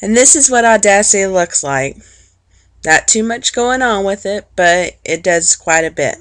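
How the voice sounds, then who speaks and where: A woman teacher narrating an informal, plain-spoken software walkthrough step by step, explaining things for students. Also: medium pitch, lively intonation, measured pace, no echo. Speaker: teacher giving a software tutorial.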